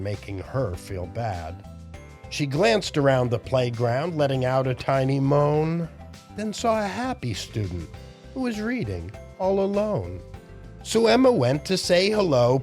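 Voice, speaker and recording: Animated older man with a storyteller's lilt. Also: a little high, measured pace, soft background music. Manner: animated, theatrical, addressing young listeners directly. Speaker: children's storytime host and entertainer. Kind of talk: rhyming read-aloud narration and cheery chat to children.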